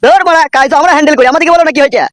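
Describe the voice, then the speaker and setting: গ্রামবাংলার টানে একজন পুরুষ কার্টুনে এক লোভী, মুখখারাপ, টিটকিরি-মারা ছোকরা চ্যালার গলা দিচ্ছে।. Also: male voice, very high-pitched, racing, no room sound, clean studio sound. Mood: jeering. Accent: rural West Bengali. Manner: jeering, swaggering. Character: mocking, greedy young henchman, crude prankster. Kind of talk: amateur comedy cartoon dub.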